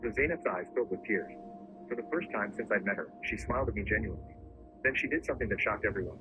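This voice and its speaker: A man narrating a first-person story in a steady, even storytelling delivery. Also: on the low side, fast, music in the background. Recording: muffled lo-fi recording with clear background noise.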